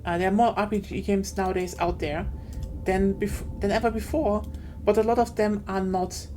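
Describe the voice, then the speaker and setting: A woman's voice: mid-range voice, fairly even intonation, normal speed, warm tone, a little hiss or hum. A woman hosting an award livestream, talking in an informal and opinionated way. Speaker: award show host. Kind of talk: casual livestream commentary. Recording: faint music behind the voice. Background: faint music.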